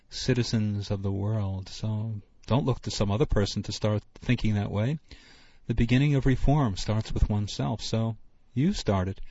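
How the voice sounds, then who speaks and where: Earnest low-voiced man hosting a panel. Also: dark tone, no room sound. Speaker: host. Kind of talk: discussion panel moderator. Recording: dull lo-fi broadcast recording.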